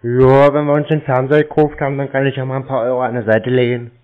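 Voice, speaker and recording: A man's voice: mid-range voice, little pitch movement, quick pace. A man chatting casually on a call, blunt and nonchalant. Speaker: scam-baiter playing an uninterested prospect. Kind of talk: casual phone conversation. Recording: slightly dull, lo-fi call recording.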